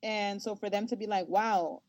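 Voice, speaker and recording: A young woman of Salvadoran family who grew up speaking Spanish first, telling stories in an animated, chatty way with many fillers, as the guest in an interview. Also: mid-range voice, normal speed, dry recording. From United States, Salvadoran family. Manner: animated storyteller, lots of fillers and laughs. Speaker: guest, sports journalist and host. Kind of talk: casual interview talk.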